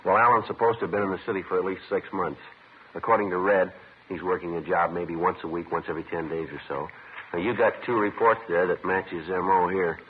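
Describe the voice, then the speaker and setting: Low, quick deadpan radio detective acted by a man. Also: close to an everyday voice. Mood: skeptical, businesslike. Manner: deadpan, matter-of-fact, clipped. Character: terse, methodical male police detective sergeant. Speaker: lead actor and narrator. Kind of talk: 1950s radio police drama acting, clipped narration. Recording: tinny, noisy old radio recording.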